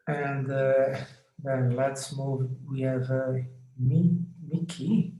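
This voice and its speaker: A man's voice: medium pitch, flat delivery, drawn-out words, warm tone. A man leading an online class, talking unhurriedly with many fillers. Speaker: lecturer. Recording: echoey video call with hiss.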